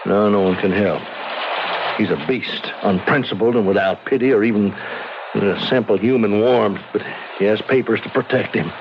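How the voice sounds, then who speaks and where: An earnest middle-aged frontier doctor, played by a male actor speaks in a low voice. He is halting and emphatic in old radio drama style. The recording is dull, with clear background noise. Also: lightly acted. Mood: shaken, bitter. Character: pragmatic frontier doctor, a weary father.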